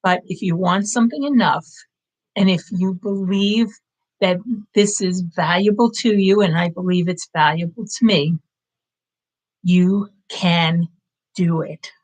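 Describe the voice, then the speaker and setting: Woman, late fifties, channel host; unhurried, low, encouraging live-stream chat. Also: a little mellow, low-quality recording. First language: English. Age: 50s. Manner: earnest, reflective, encouraging. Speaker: language-learning channel host. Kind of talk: casual live-stream update. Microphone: live-stream setup.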